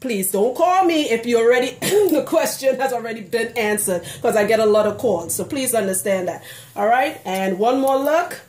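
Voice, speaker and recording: An outspoken woman with a Trinidadian accent speaks in a high, bright register. She talks casually to her viewers and laughs easily, and her voice rings around a roomy, echoing space. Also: moderate pace. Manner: animated, outspoken, laughs often. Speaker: loctician and hair care consultant. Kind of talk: casual vlog talk to camera.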